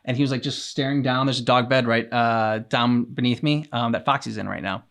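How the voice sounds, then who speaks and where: A young adult man co-hosts a casual reaction chat. He rambles in a laid-back way, full of ums and uhs, and his voice sits at an ordinary middle pitch. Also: fairly even intonation, brisk, vocal fry, a bit bright. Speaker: reaction channel co-host.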